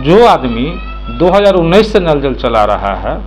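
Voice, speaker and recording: A man's voice: a little high, very animated, moderate pace, soft background music, rough recording. A man, giving spoken commentary to viewers as a local news presenter. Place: small indoor room.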